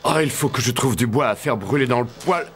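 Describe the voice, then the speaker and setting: An actor playing a cheerful, naive young soldier in an animated fairy tale, expressive and full of exclamations. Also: male voice, medium pitch, brisk, natural voice. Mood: dejected, grumbling. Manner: expressive, exclamatory, talking to himself. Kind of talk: French-language animated fairy-tale dub.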